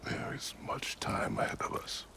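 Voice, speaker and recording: An actor playing a stoic, grieving warrior husband in a game cutscene, gravelly, terse and solemn. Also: male voice, unhurried, sharp tone, slight background noise. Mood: grave, guarded. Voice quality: gravelly, rumbling. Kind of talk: dramatic video game cutscene acting.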